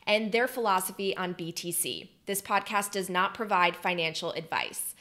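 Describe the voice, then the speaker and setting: A woman's voice: lowish voice, moderate pace, pushed and bright. A young woman hosting a podcast interview, upbeat and enthusiastic, recorded at home over a video call. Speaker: podcast host. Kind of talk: interview host. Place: home room, indoors.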